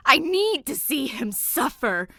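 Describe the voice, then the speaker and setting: Woman acting a shrill, spiteful bratty bride ghost. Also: very animated, slow pace, bright, forceful tone, no room sound, full character voice. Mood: furious, shrieking. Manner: shrieking, growling, demanding tantrum.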